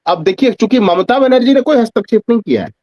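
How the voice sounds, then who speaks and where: A man's voice: very high voice, lively intonation, fast talker, a little mellow, dry recording. A man speaking as a guest news analyst in conversational, pointed explanation, posing questions and answering them, over dull lo-fi audio. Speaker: senior journalist and political analyst, guest. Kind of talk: conversational political commentary.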